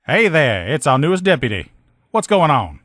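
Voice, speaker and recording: Cocky wasteland lieutenant for a video game, blunt salty talk, pitch jumps around a lot, really dry close sound. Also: male voice, big pitch swings, normal speed, a bit bright, no echo. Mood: casual, businesslike. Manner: blunt, colloquial, salty-tongued, sardonic. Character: brash male sheriff's lieutenant, proud power armor mechanic, post-apocalyptic soldier. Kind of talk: video game character dialogue.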